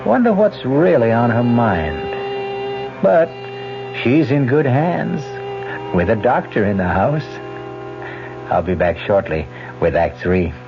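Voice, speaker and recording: A man hosting a scripted radio mystery, with wry, deliberate asides. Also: medium pitch, sing-song. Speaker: host. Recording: dull lo-fi radio, music behind.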